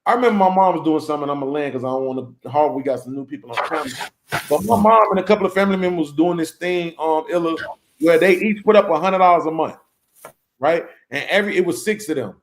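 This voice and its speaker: A man's voice: fairly high pitch, fast talker, slight background noise, poor audio. Chatty man hosting a panel. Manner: rambling, keeps checking in with "right?". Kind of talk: casual panel discussion.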